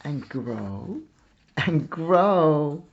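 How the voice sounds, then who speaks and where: A man reading a children's picture book aloud like a teacher, with deliberate, expressive phrasing and pauses. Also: a little high, creaky, muffled recording. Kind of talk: slow children's storybook read-aloud.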